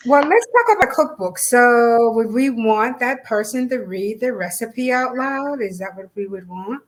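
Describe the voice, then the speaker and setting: A woman's voice: fairly high pitch, expressive, unhurried, low-quality recording. A woman co-hosting a casual chat about an event, talking in a chatty, encouraging way. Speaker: co-host.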